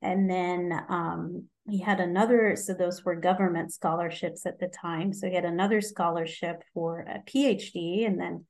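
A woman's voice: fairly low pitch, fairly even intonation, moderate pace, few pauses, darkish tone. A woman in her forties from Egypt, a physician guest in an interview, talking casually with frequent ums, restarts and easy laughs. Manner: hesitant, many ums and restarts, laughs easily.

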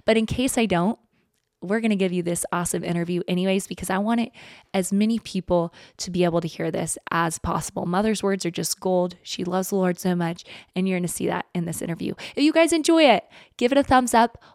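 A woman's voice: on the low side, brisk, a little mellow, no echo. Chatty young woman, dry studio sound. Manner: enthusiastic, chatty. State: slightly croaky voice. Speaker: host. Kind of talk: casual interview and promo. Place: home studio. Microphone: large studio microphone.

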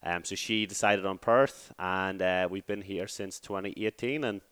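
An Irish man in his thirties, a fitness coach telling his story as a podcast guest in a relaxed, rambling way. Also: sits low, moderate pace, clear, resonant tone, no echo. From Ireland. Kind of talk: casual interview chat.

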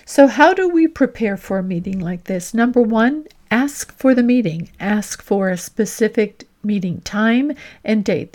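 Woman, medium pitch, executive coach, podcast host, scripted monologue, deliberate, instructive, direct address. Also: darkish tone.

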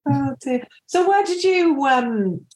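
A woman's voice: high voice, expressive, takes it slowly. Yorkshire lady hosting, casual chatty voice, lots of laughs. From Yorkshire, England. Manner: chatty, warm laughter, rambling restarts. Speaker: podcast host. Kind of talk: casual interview chat.

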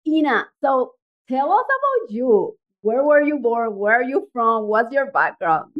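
A woman hosting an interview podcast in an excited, chatty way. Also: fairly high pitch, measured pace. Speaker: podcast host. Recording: dull lo-fi audio.

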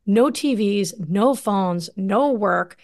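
A woman hosting a casual video-call conversation, chatty and enthusiastic, quick to gush in agreement. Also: medium pitch, expressive, darkish tone. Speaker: podcast host. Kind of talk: casual chat.

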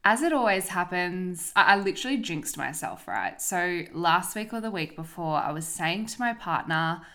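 A young woman with a fairly low voice talks through her wellness podcast alone. She sounds a little sniffly from an oncoming cold. Her chat is upbeat and loose, with casual swearing. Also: lively intonation, moderate pace, pushed and bright. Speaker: podcast host, holistic coach. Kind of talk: casual solo podcast monologue.

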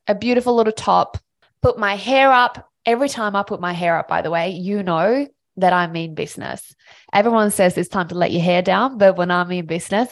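Upbeat Swedish-born woman podcaster. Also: on the low side. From Sweden. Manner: enthusiastic, motivational, chatty. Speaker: podcast host. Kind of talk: personal storytelling monologue.

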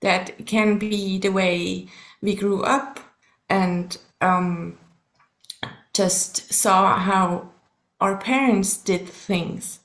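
A woman from Switzerland whose first language is German, speaking English with a Swiss German accent learned partly in the US. She is a guest in a casual podcast interview and talks in a measured way, pausing to search for words. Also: mid-range voice. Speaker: guest, subconscious coach and therapist.

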